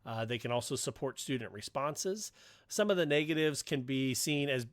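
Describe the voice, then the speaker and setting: A man's voice: mid-range voice, animated, normal speed, dry recording. A man hosting a podcast discussion, moderating and talking through points with co-hosts. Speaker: podcast host.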